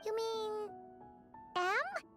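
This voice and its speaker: A woman's voice: very high voice, sing-song, extremely slow, music in the background, exaggerated voice. A woman voicing a small, childlike floating sprite companion in a video game, perky, inquisitive and full of exclamations. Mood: curious. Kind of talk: video game character voice acting.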